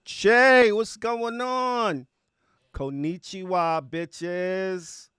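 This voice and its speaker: A man's voice: sits high, steady tone, very slow, fairly bright tone, smooth, no room sound, clean studio sound. A man hosting a livestream, chatting casually and slangily as he greets viewers by name. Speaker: livestream host of music reaction show.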